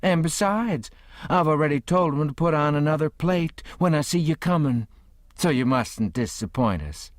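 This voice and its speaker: A man voicing a hospitable old gentleman with a Southern accent, coaxing and kindly. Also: fairly high pitch, animated, normal speed, no echo, studio quality, pitched above the performer's own voice, close to an everyday voice. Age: older. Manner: warm, coaxing, hesitant when flustered.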